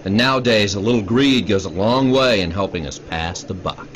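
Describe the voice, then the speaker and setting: An actor in a radio drama playing a bitter, sleepless scientist, grave, sardonic and accusing, bent on revenge. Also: male voice, lowish voice, normal speed, lightly acted. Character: bitter, sleepless male scientist, grimly vengeful. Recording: dull radio drama recording, faint noise.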